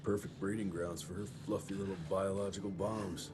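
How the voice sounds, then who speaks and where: An actor playing a cocky, hard-bitten Wild West vampire hunter in a video game, with a blunt, sardonic delivery. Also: male voice, low voice, little pitch movement, a little fast, noisy background, close to an everyday voice. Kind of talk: video game cinematic dialogue.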